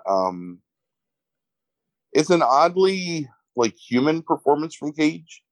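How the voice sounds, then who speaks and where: A young man, congested, describing a movie in a casual, halting way full of fillers. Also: fairly high pitch, big pitch swings, no room sound, poor audio. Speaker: movie podcast co-host. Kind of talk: casual film review chat.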